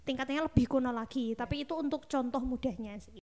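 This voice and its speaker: A woman's voice: sits high, fairly quick, over a noisy crowd. A woman with a Javanese accent, hosting a casual discussion and explaining things to listeners conversationally. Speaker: podcast discussion host.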